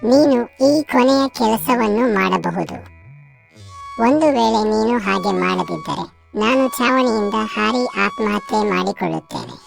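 A woman's voice: medium pitch, lively intonation, normal speed, gravelly, faint music behind. A woman voicing a greedy village wife in a cartoon moral story, speaking insistently.

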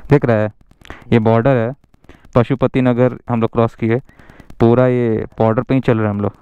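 A man's voice: mid-range voice, flat delivery, normal speed, clean tone, no echo, dull audio. A young man chatting casually while riding a scooter on hill roads, mixing Hindi and English and grumbling with jokes. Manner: chatty, mixes Hindi and English, jokey complaints. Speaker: motovlogger.

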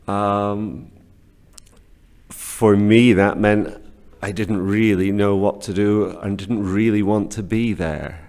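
Low-voiced British man, halting speech. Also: steady tone, darkish tone, clean tone. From Scotland, raised in northern England. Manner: hesitant, many fillers and restarts. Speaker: guest, teacher trainer. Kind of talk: casual interview. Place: small classroom office. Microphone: studio mic on desk stand.

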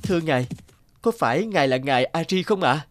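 A man's voice: on the high side, expressive, brisk, heavy background noise, lightly acted. An actor playing a devoted, polite young male violinist in a cartoon. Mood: earnest. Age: young adult. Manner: earnest, polite.

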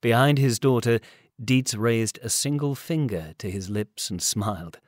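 A man narrates a story as an audiobook reader. His voice sits at a medium pitch and is recorded dry, with no room sound. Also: a little slow, dry recording.

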